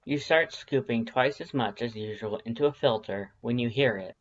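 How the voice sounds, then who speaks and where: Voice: medium pitch, normal speed. A narrator reading a story expressively in a wry, conversational way, on a slightly muffled home recording. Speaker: audiobook narrator of fan fiction.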